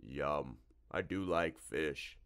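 A man's voice: extremely low pitch, expressive, drawn-out words, a bit bright, clean tone, pitched below the performer's own voice, exaggerated voice. A man voicing a huge, slimy tentacled monster from a storybook, ponderous in manner and easily horrified. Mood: ponderous hungry musing.

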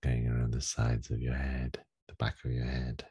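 A man leading a guided meditation as a teacher, giving gentle, unhurried instructions with long pauses in a gravelly, husky voice. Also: very deep voice, monotone. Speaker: meditation teacher leading a daily group session.

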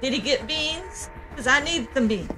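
A woman's voice: high-pitched, animated, moderate pace, pushed and bright, faint music behind. A woman dubbing a dazed, scatterbrained young female fighter in a comedy game fan dub, blurting silly non sequiturs. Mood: curious.

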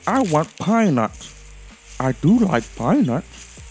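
A man's voice: a little high, sing-song, slow pace, a little mellow, faint music behind, pitched above the performer's own voice. A man voicing a choosy little red squirrel in a children's storybook, emphatic and wishful.

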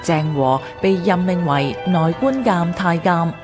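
A woman with a Hong Kong Cantonese accent reads a scripted history documentary in a formal, measured way. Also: on the low side, animated, over music. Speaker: narrator of a radio history programme.

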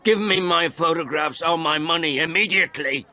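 A man's voice: mid-range voice, expressive, moderate pace, a bit bright, some chatter behind, voice pushed up, lightly acted. A man voicing a stubborn, indignant farmer in his seventies, insistent and demanding, in a storybook reading. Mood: angry, insistent. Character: stubborn, indignant seventy-two-year-old male village farmer.

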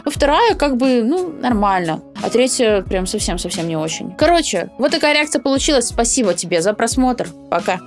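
A young woman with a fairly high voice talks quickly and casually. She co-hosts a reaction video from a home streaming room, close to a desk mic, with music faintly behind her. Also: big pitch swings. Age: young adult. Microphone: studio mic on desk arm.

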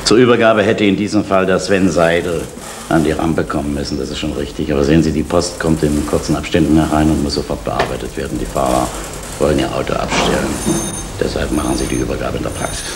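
An actor playing a calm police investigator who explains things patiently in an old East German radio crime drama. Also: male voice, rumbling low, quick pace. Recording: echoey, noisy old radio play recording.

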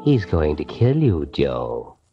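An American actor playing a sinister, all-knowing narrator of a 1940s radio thriller, mocking and ominous. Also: male voice, a bass voice, huge pitch swings, slow pace, full character voice. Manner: taunting, ominous, addresses the doomed directly. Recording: dull old radio recording, music behind.

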